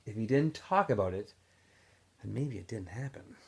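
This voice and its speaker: A man's voice: lowish voice, lively intonation, moderate pace, husky. A male teacher casually recapping a story for students, then reading a novel aloud expressively.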